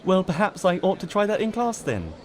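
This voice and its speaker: Man as a hesitant, high-voiced lady schoolteacher pony. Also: big pitch swings, a little fast. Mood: politely doubtful. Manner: hesitant, gently fussing. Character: polite, concerned female pony schoolteacher.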